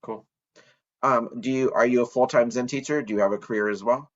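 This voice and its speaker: A man hosting a podcast interview, asking questions hesitantly and filling gaps with soft "mm-hmm"s. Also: mid-range voice, fairly even intonation, brisk, dull audio.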